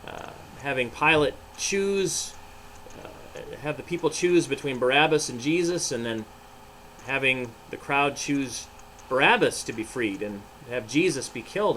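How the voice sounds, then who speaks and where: A man's voice: mid-range voice, fairly bright tone, slight background noise, rough recording. A man, a pastor, reading a story and talking to children in a dramatic, emphatic way. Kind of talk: animated storybook narration and children's devotional.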